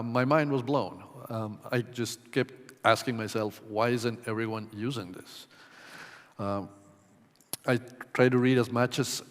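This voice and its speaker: A man from Ecuador giving a conference talk in a measured way, with many ums and uhs. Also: lowish voice, clear, resonant tone. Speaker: conference presenter. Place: conference hall. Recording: long hall reverb.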